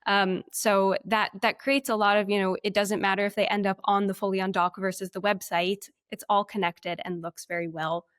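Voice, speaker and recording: A woman's voice: medium pitch, level tone, normal speed, hardly any pauses, fairly bright tone, clear, resonant tone, no echo. Woman co-presenting a webinar, dry sound. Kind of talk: conversational screen-share walkthrough.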